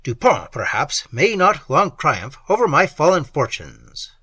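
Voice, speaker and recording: A man's voice: mid-range voice, very animated, a little slow, bright, forceful tone, husky, no room sound, clean studio sound, heavily acted. A man voicing a frantic ruined young lover. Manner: impassioned, wild, pleading. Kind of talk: audiobook reading of a classic novel.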